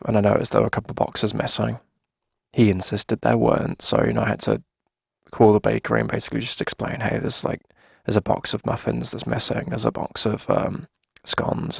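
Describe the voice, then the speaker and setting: A man's voice: fairly low pitch, no echo, studio quality, exaggerated voice. A man playing a friendly, flustered coffee shop owner in a casual, chatty ASMR roleplay, talking hesitantly as if to a favorite customer. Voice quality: creaky, gravelly. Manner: hesitant, chatty, sighing conversational delivery.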